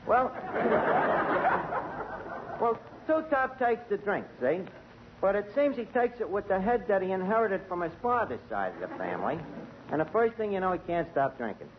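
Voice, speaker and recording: A man's voice: sits high, very animated, moderate pace, long pauses. A man, spinning a tall tale and fumbling big words as the lead of a 1940s radio comedy. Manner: tough-guy storytelling with malapropisms. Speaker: tavern manager character, lead comic. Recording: dull 1940s radio broadcast with faint hiss.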